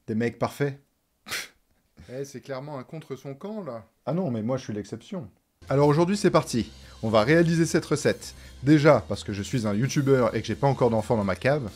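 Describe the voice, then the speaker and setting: A man's voice: mid-range voice, darkish tone. A man presenting a scripted comedic video essay in a sarcastic, informal way, as a YouTuber.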